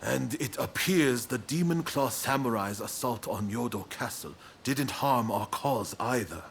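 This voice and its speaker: A man acting a composed, pragmatic military leader in a game cutscene, matter-of-fact and controlled, over background rumble. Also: lively intonation, unhurried, creaky. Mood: calm, satisfied.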